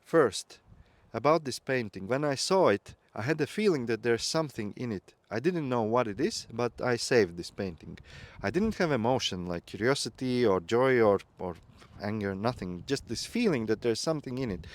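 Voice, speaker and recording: A man's voice: mid-range voice, lively intonation, normal speed, no echo. Earnest man. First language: Latvian. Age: 30s. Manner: reflective, earnest, with light laughs. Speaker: growth mentor. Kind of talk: personal storytelling talk.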